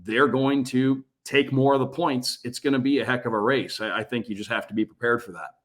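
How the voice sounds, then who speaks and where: A man's voice: medium pitch, fairly even intonation, a little fast, a bit bright. Man breaking down hockey at length. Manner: talks at length in long, analytical runs. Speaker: radio play-by-play broadcaster, guest. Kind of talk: casual sports podcast discussion.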